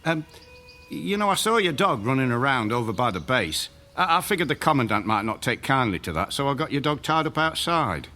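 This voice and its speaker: A man's voice: medium pitch, very animated, a little fast, bright, forceful tone. A man acting an affable, cautious neighbor who delivers mail, folksy and hesitant, in a radio drama. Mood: uneasy, cautious.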